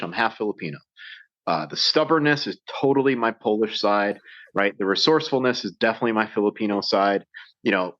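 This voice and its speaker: A man's voice: medium pitch, fairly bright tone, no room sound. An American man speaking as a podcast guest, telling his story in a passionate, emphatic way. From United States. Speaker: guest, survival instructor. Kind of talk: casual interview talk. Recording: dull lo-fi sound.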